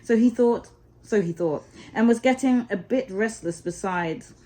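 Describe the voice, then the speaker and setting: Expressive woman reading a storybook aloud. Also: a little high, a little slow.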